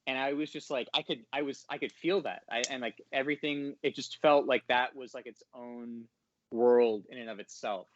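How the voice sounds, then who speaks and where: A man's voice: mid-range voice, steady tone, normal speed, no room sound. A man rambling casually, hesitating and stammering as he searches for his words. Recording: dull, very dry audio.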